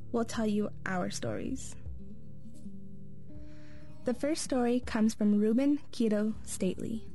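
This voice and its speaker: Mellow-voiced woman narrating over soft music. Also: a little high, vocal fry. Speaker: host and narrator. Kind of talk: scripted radio narration.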